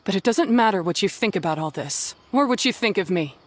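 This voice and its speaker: A woman's voice: medium pitch, animated, a little fast, natural voice. A woman playing a hardened, bitter retired mercenary in an anime game, speaking with firm, cynical resolve. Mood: cold resolve. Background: music.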